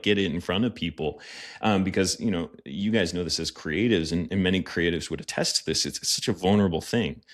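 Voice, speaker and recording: Man, very low voice, earnest, reflective, lots of fillers, podcast guest. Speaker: guest, pastor and media producer. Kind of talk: casual podcast conversation.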